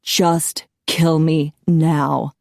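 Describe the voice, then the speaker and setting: Woman doing a low, grumpy male shapeshifter. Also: measured pace, clean tone, no room sound, studio quality. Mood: sullen. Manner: muttering, grumbling, hissing. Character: grumpy, gruff male shapeshifter, reluctant and sullen.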